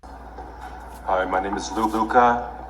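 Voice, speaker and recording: A man's voice: on the low side, steady tone, takes it slowly, fairly bright tone, roomy sound, soft background music. A young man chatting casually as a contestant, using many "like" fillers, on an old TV clip. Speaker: model contestant.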